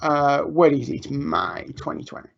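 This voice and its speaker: A fairly high-voiced man talks teachers through a software demo in a loose, chatty way, full of ums and self-corrections. The sound is dull and lo-fi, like a live online stream. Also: very animated, unhurried, creaky, a little hiss or hum. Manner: chatty, informal, many ums and restarts. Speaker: education technology trainer. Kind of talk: live screen-share tutorial. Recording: muffled lo-fi online stream audio.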